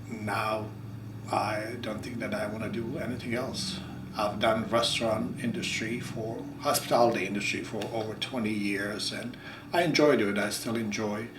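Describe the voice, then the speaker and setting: A man in his forties from Croatia with a Croatian accent, co-hosting a casual farm chat, halting and repeating words as he talks. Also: lowish voice. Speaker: farm vlog co-host. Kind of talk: casual conversation. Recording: echoey room, mic at a distance, noisy.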